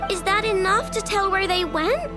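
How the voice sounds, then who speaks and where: A woman's voice: extremely high pitch, expressive, moderate pace. A woman voicing an eager, spirited little girl in a video game, perky and bold. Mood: puzzled. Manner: childlike, perky. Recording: game music clearly behind.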